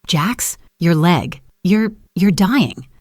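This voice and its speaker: A small, frightened alien woman, put on by a man, in a sci-fi audiobook. The voice is raised high and trembles gently, stammering very slowly as if shivering, in a dry recording. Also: expressive, no room sound, heavily acted. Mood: frightened, pleading. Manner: stammering, fearful. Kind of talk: audiobook character voice.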